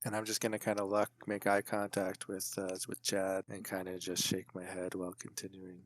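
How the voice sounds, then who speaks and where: Low, dry-sounding male tabletop player. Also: monotone, moderate pace, dry recording. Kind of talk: casual in-game talk.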